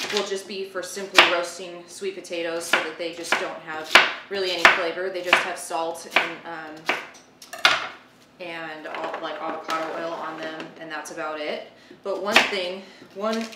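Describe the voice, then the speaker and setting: A woman's voice: fairly low pitch, heavy background noise. A woman in her thirties hosting a casual cooking demonstration, talking informally and thinking aloud as she works. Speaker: cooking show host. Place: home kitchen, indoors. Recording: roomy, distant mic, loud kitchen clatter. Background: chopping, clicks, pans clattering.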